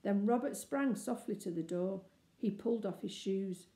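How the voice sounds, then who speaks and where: A woman reading a children's story aloud as scripted narration, expressive and measured. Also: medium pitch. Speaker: storybook reader. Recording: echoey room.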